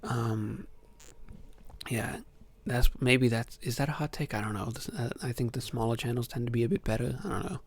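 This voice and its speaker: A young man from Ohio hosting a soft-spoken ASMR Q&A, answering questions hesitantly with many ums. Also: mid-range voice, moderate pace, breathy edge. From Ohio, USA. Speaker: ASMR channel host.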